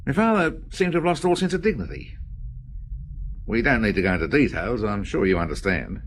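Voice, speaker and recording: A man's voice: on the low side, expressive, a little fast, a little hiss or hum, close to an everyday voice. An actor playing a respectable businessman's son in a radio drama, stiff, uneasy and defensive.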